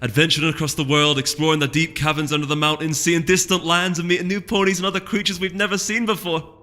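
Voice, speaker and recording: A man's voice: on the high side, flat delivery, quick pace, bright, forceful tone, voice pushed up. A man performs a young female storybook pony with a Scottish accent. She is bubbly, adventurous and eager to chatter, set in long reverb over music. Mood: excited, gushing.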